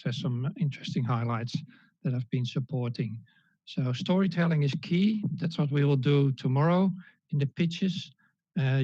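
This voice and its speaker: A man in his fifties from the Netherlands with a Dutch accent, giving an online presentation with many pauses, fillers and restarts. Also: mid-range voice, a little mellow, no room sound. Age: 50s. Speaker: guest speaker. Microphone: video call. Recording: dull lo-fi call audio.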